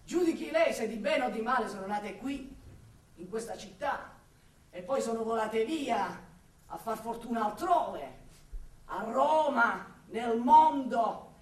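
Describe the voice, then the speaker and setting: A roomy, distant recording carries a high-pitched woman in a theatrical radio monologue. She is an impassioned city dweller, torn between love and exasperation. She declaims, stretching and repeating her words for dramatic weight. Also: expressive. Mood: bitter, indignant. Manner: declamatory, with drawn-out words and repetitions. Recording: roomy echo, distant from the mic.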